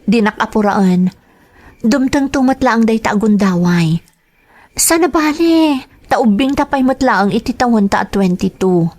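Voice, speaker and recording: A woman's voice: on the high side, animated, a little slow, a little mellow. An actress with an Ilocano accent playing a dutiful young working woman in a radio drama, earnest and pleading. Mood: earnest explaining.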